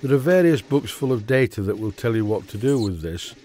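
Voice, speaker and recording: A middle-aged man with a Yorkshire accent, giving a steady, methodical workshop tutorial voice-over about model engine repair. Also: on the low side, a little fast. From Yorkshire, England. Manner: steady, methodical, plain-spoken instruction. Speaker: model engineering channel host.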